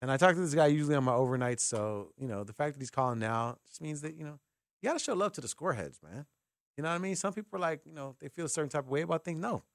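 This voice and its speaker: A man's voice: fairly high pitch, racing, creaky, no room sound. Casual male sports radio host, dry studio sound. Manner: animated storytelling with acted-out dialogue. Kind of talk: casual chatty radio talk.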